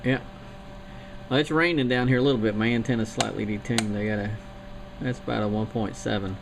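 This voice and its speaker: A man chatting easily on amateur radio, talking through gear and antennas in a relaxed, technical way. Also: on the low side, brisk, creaky. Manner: easygoing, technical talk. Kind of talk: casual radio chat. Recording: hissy shortwave radio signal.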